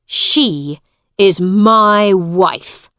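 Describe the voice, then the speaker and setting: A woman's voice: on the low side, animated, drawn-out words, clean tone, no echo, studio quality. A woman reading a storybook, voicing a harsh, angry man who gives orders. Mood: stern. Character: harsh, angry grown man, a usurping brother.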